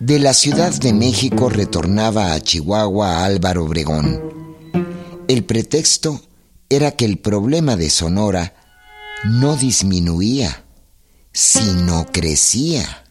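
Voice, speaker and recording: Man, historical radio narration, slow deliberate storytelling, low voice, music behind. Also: lively intonation. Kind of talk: scripted dramatic historical narration. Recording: clear music under the narration.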